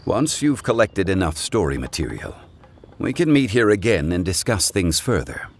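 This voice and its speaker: An actor playing a composed, scholarly gentleman in a video game, speaking in a measured, formal, polite way. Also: low voice, sing-song, a little hiss or hum. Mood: calm courteous.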